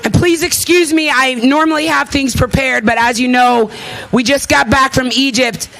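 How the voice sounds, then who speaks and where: A woman's voice: sits high, steady tone, normal speed, gravelly, fairly bright tone, a little hiss or hum, poor audio. A woman in her thirties giving an impassioned, emphatic rally speech as an activist, with repeated phrases and emotion in her delivery. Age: 30s. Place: at a rally before an audience.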